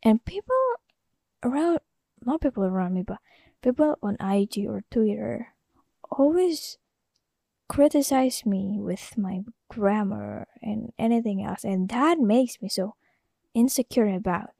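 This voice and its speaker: A woman's voice: mid-range voice, animated, a little slow, vocal fry, mellow, dry recording. An Indonesian woman, a native Indonesian speaker with an Indonesian accent, hosting a casual solo podcast in a rambling, hesitant way full of fillers. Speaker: podcast host.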